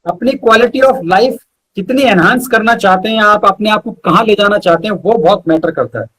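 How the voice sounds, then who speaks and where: A man speaking as a guest poet in a live interview, reciting verse and explaining it, emphatic and repeating lines deliberately. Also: high-pitched, a bit bright, a little hiss or hum, rough recording.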